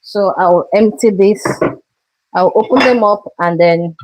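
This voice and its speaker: Slow, chatty woman hosting a cooking stream. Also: medium pitch, darkish tone, low-quality recording. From Cameroon. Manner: chatty, talks through each step, greets viewers. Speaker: cooking show host.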